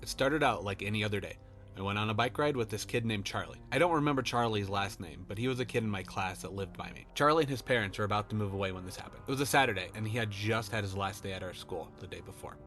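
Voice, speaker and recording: A man's voice: lowish voice, quick pace, fairly bright tone, soft background music. A man reading his own fiction as a scripted first-person horror story narration.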